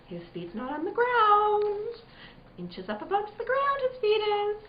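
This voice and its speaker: A woman's voice: extremely high pitch, wildly swooping pitch, a little slow, exaggerated voice. An actress playing a haunted, fey young country girl in an old-time radio drama, with eerie, folksy, incantatory speech. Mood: eerie, trancelike. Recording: dull, echoey recording with background noise.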